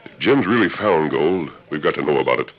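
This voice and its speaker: An actor playing a steady, commanding western hero in a 1940s radio drama, measured and earnest, with an American accent. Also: male voice, extremely low pitch. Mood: serious. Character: steady, authoritative male western hero, masked lawman. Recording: muffled vintage radio recording.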